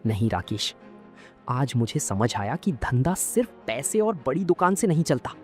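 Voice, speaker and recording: A woman voicing a proud male village shopkeeper with intense feeling, in an animated story. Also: extremely low pitch, moderate pace, pitched below the performer's own voice. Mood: remorseful. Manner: emotional, intense. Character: proud, jealous village shopkeeper man, bitter then remorseful. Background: background music.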